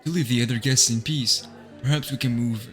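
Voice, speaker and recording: A man's voice: mid-range voice, brisk, a bit bright, faint music behind, natural voice. A man playing a composed, dignified young noble host in a dramatic fan dub, speaking formally and politely. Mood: courteous.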